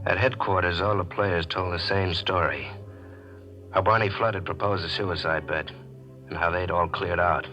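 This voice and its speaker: A man's voice: low voice, normal speed, bright, forceful tone, highs cut off. An American actor playing a cold, calculating gambler who narrates his murder scheme matter-of-factly in a 1940s radio drama. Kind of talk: 1940s radio drama acting, first-person crime narration. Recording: 1940s radio recording with music.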